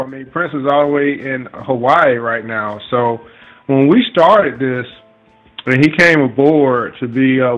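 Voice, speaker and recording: Casual man hosting, medium pitch. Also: poor audio. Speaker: host of an investing conference call. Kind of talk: casual hosting, informal chat.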